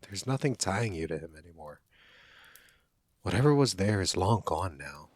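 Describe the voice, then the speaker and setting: A man's voice: deep voice, animated, moderate pace, darkish tone, dry recording, studio quality. A man playing a caring, protective young college friend in an intimate, one-sided audio roleplay, speaking in an earnest, conversational way. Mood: surprised, relieved.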